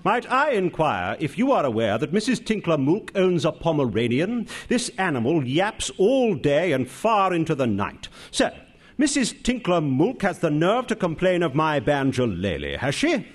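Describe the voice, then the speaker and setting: Flighty young English gentleman, upper-class accent, exclamatory radio-comedy delivery, highish and hugely animated. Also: normal speed. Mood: haughty, indignant. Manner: pompous, theatrical, exclamatory. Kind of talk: comic radio drama acting and first-person narration.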